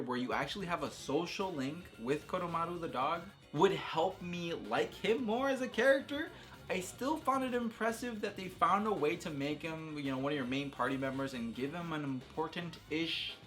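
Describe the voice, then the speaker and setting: Male video host, slightly high voice, excitable slangy delivery, off-the-cuff gaming commentary over music. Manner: enthusiastic, slangy, with emphatic exclamations. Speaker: YouTube host reviewing a video game. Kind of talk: casual, unscripted video game commentary.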